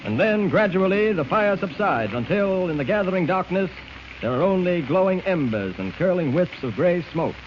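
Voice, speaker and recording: A man's voice: high voice, lively intonation, normal speed. A man narrating a 1940s radio serial from a script in a dramatic, declamatory manner. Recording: muffled, noisy old radio recording.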